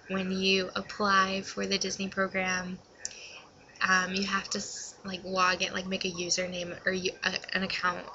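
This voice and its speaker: A woman's voice: lowish voice, bright, forceful tone, dull audio, a little hiss or hum. A young adult woman giving casual advice in a vlog, talking in an informal, rambling and slightly self-conscious way. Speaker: vlogger.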